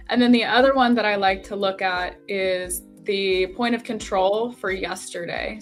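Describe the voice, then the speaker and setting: A young woman hosts a live trading stream in a loose, chatty way, full of "um" and "like." Her voice sits at an ordinary middle pitch for a woman. Soft music plays faintly behind her. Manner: chatty, rambling with many fillers. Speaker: trading livestream host. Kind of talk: casual live chat while trading on screen.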